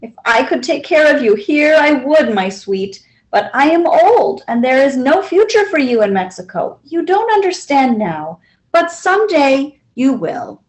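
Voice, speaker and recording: Old Mexican grandmother for a storybook, read by a woman: gentle, sorrowful, high-pitched and expressive. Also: unhurried, rough recording.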